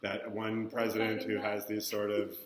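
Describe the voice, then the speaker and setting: A man's voice: on the low side, completely flat delivery, moderate pace, reverberant. A middle-aged man from Minnesota, being interviewed and speaking thoughtfully and earnestly. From Minnesota, USA. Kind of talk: reflective interview.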